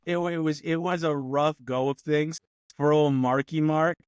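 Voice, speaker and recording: A man's voice: on the high side, steady tone, a little slow, clean tone, no echo, clean studio sound. A man co-hosting a movie review podcast, rambling with lots of fillers and laughing often in casual chat. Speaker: podcast co-host.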